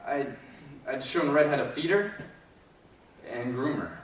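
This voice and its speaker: A man playing a possessive, brooding circus elephant trainer in a stage monologue with dramatic pauses, heard from far off in an echoey space. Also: medium pitch, expressive, a little hiss or hum, natural voice. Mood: bitter, distrustful. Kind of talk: theatrical monologue, readers theater.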